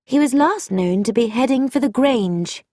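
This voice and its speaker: A woman doing a sharp, crisp young noblewoman. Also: fairly high pitch, lively intonation, normal speed, dry recording, clean studio sound, pitched above the performer's own voice. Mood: sharp, pointed. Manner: crisp, pointed, edgy.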